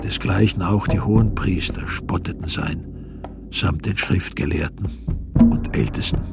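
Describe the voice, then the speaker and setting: A solemn evangelist narrates scripture in a radio drama. His voice is on the low side with a marked creak, measured and grave. Music runs underneath. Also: heavily acted. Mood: grave narration.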